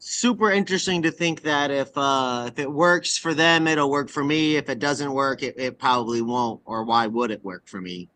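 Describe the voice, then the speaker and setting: A man's voice: mid-range voice, moderate pace, few pauses, sharp tone. A man from the Pacific Northwest hosting a podcast, asking long, rambling questions with enthusiasm. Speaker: podcast host. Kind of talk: conversational podcast interview.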